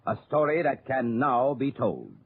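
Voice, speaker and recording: A man's voice: mid-range voice, big pitch swings, unhurried, very noisy. A man announcing a 1950s radio drama in a solemn, declamatory style. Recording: tinny old radio broadcast.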